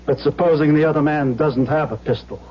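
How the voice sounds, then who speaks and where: An actor playing an anxious, upright middle-aged bank executive, speaking earnestly and properly in an old-time radio drama. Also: male voice, fairly high pitch, brisk, a little hiss or hum, lightly acted. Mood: guarded. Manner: earnest, reasoning, proper diction. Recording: dull lo-fi old radio recording.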